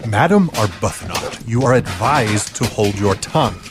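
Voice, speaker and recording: A man's voice: on the low side, lively intonation, unhurried, background noise. A man playing a blustering, exasperated police marshal in an old-fashioned radio play, formal and commanding.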